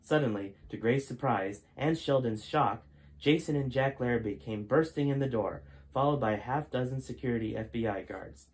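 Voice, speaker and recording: A man's voice: medium pitch, moderate pace, a little mellow, poor audio. A man narrating his own novel in a plain reading voice, with occasional slips and restarts. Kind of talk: storybook narration.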